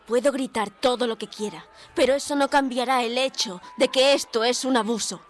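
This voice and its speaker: A woman's voice: fairly high pitch, moderate pace, natural voice. An actress playing a defiant, articulate young woman reporter held in an asylum, in a dramatic audio drama. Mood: indignant, defiant. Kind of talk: audio drama narration and dialogue. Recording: music under the voice.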